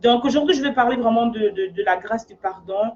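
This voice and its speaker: A woman's voice: on the high side, fairly quick, a little mellow. Earnest woman coach, from Africa, lo-fi. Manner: earnest, often checks in with "d'accord?" and "en fait". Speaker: life coach. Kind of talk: casual motivational monologue.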